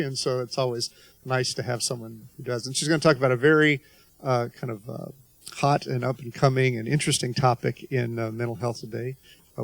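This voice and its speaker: A man introducing the guest speaker at a talk in a relaxed way, with frequent uhs. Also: mid-range voice, gravelly, no room sound.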